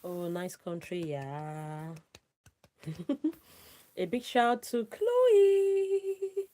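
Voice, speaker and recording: A woman's voice: fairly low pitch, animated, drawn-out words, dark tone. A woman chatting casually as a live streamer, friendly and effusive with her listeners. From Nigeria. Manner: effusive, friendly, often repeats thanks and calls out names. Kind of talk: casual live-stream chat with shout-outs.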